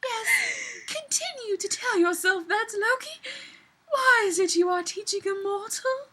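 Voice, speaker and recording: Female reader as melodramatic elf woman, gentle and quavery, high and bright, fan fiction storytelling. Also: expressive, unhurried, full character voice. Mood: teasing. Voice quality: quavering, breathy at times.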